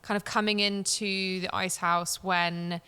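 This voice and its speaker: Bright, clear-voiced chatty woman. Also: medium pitch, flat delivery, a little slow. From born in New Zealand, raised in Samoa and Cornwall, UK. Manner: chatty, enthusiastic, many fillers like "um" and "like". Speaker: podcast guest, head of marketing. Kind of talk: casual interview chat. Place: coworking space.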